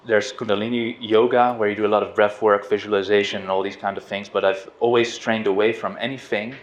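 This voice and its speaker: Low-voiced man, roomy lo-fi sound. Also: a little fast. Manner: relaxed, hesitant, with restarts and soft laughs. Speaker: spiritual teacher. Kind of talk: informal satsang talk. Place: outdoors on a river boat. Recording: roomy, dull lo-fi sound with faint noise.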